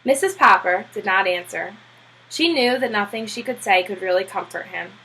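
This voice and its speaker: A woman reading a children's novel aloud as a storybook narrator, with a husky voice. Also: medium pitch, animated, normal speed, pushed and bright, slight background noise, poor audio. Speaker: storybook reader.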